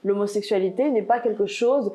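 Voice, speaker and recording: Woman on a debate panel, mellow voice, firm, explaining her side. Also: mid-range voice, fairly quick. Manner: reasoning, firm. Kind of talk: debate argument.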